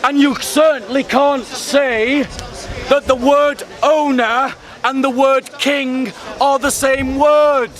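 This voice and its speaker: A man's voice: very high-pitched, fairly even intonation, slow pace, fairly bright tone. A man debating in public, pressing his point and repeating pointed questions. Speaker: street debater. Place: outdoor public speaking spot. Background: crowd of onlookers.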